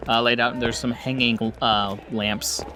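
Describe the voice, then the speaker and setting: Male game master, mid-pitched, narrating tabletop roleplay scenes with music underneath. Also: steady tone, normal speed, bright, forceful tone.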